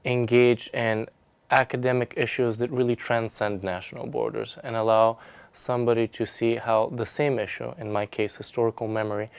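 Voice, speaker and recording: Smooth-voiced young man, formal and academic. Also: medium pitch, flat delivery, moderate pace, dry recording. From Bulgaria. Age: young adult. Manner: articulate, formal academic wording. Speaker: research scholar, graduate student. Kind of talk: prepared personal testimonial to camera.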